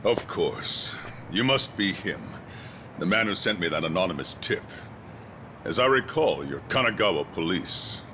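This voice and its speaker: An actor playing a curt, imperious middle-aged police commissioner in a video game drama, impatient and full of authority. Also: male voice, sits low, expressive, unhurried, background noise. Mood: impatient, cold. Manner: clipped, imperious.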